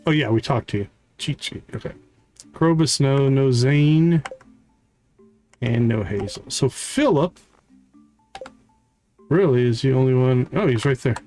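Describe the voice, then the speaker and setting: A man's voice: mid-range voice, expressive, measured pace, pauses often, mellow. A man livestreaming a video game, casually thinking aloud in short phrases as he plays. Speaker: live streamer, game host. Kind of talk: casual gameplay commentary, thinking aloud and reading game dialogue. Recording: music playing behind the voice. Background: game music.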